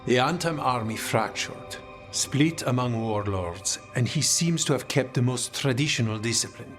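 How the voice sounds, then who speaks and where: A man voicing a composed, calculating assassin leader in a video game, with a measured, formal delivery. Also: medium pitch, expressive, fairly bright tone, soft background music, close to an everyday voice.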